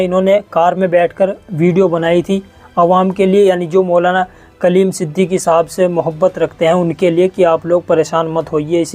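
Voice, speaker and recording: A man's voice: sits high, a little mellow. A man presenting news informally to viewers, explaining and rephrasing his points as he goes. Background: background music.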